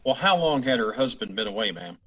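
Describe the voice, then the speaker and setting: A man playing a calm, courteous police detective questioning a witness in old-time radio style. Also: fairly low pitch, fairly quick. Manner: level, courteous, businesslike questioning.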